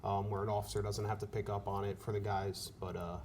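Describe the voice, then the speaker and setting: Male fire officer, slightly low voice, brisk casual delivery. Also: little pitch movement, darkish tone. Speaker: fire company officer being interviewed. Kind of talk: casual explanatory interview talk.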